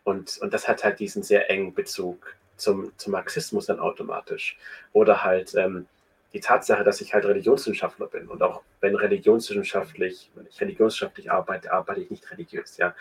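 A man's voice: deep voice, flat delivery, a little fast, slightly rough. A man being interviewed, thinking aloud and explaining his views at length with frequent restarts and fillers. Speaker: guest. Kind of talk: conversational interview.

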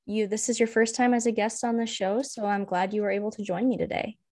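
A woman's voice: medium pitch, fairly even intonation, quick pace, darkish tone. A woman hosting a ranching podcast, talking in a friendly, conversational way with her guest. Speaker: podcast host. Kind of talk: casual podcast interview.

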